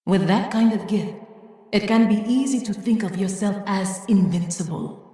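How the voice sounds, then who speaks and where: A woman voicing a wise, reflective older guardian in a video game, with a measured, wistful and earnest manner. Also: mid-range voice, warm tone, natural voice. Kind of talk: dramatic video game monologue. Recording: very echoey, long reverb tail.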